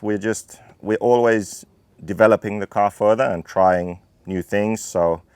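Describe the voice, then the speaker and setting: Low-pitched man, thirties, from Finland, team owner, technical explainer, dry close sound. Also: smooth, no room sound. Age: 30s. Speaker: RC racing team owner and driver. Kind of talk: interview talk about his car.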